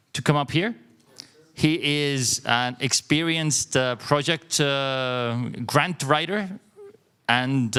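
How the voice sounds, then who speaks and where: Smooth-voiced man hosting a meetup. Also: medium pitch. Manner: relaxed, filler-laden explaining. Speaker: event organizer, host. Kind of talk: conference introduction.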